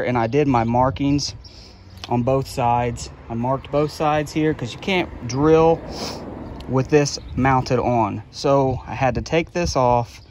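A man's voice: medium pitch, darkish tone, a little hiss or hum. A middle-aged man giving a casual, plain-spoken how-to walkthrough outdoors on a handheld phone. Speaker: YouTube channel host, trucking business owner. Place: outdoors beside a trailer. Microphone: handheld phone at arm's length.

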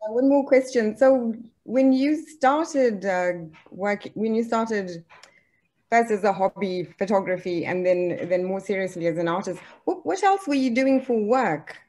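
A woman with an average-pitched voice asks questions casually on a video call. She repeats and rephrases as she goes. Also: animated, a little slow, dark tone. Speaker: audience member asking questions.

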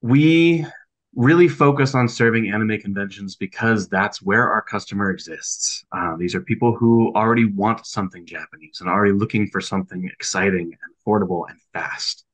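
A man's voice: lowish voice, normal speed, low-quality recording. A man who owns a small food business, giving a business presentation on a video call. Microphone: video call microphone.

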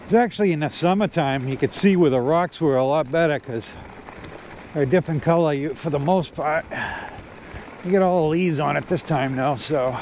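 Man, fifties, slightly high voice, rambling casual talk, clip-on mic, faint trail noise. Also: moderate pace, a little mellow. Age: 50s. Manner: rambling, filler-heavy, frequent restarts. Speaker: e-bike vlogger. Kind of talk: casual vlog commentary while riding. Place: outdoors on a trail, riding an e-bike. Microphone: corded clip-on mic. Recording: faint outdoor noise from riding.

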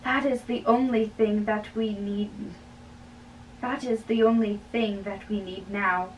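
A young woman playing a lovesick, earnest young man, impatient and pleading, in a halting dramatic play reading in a bedroom. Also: mid-range voice, steady tone, slight background noise, pitched below the performer's own voice, natural voice. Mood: pleading, subdued. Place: small bedroom, indoors. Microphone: camera a few feet away. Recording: distant mic in a bedroom.

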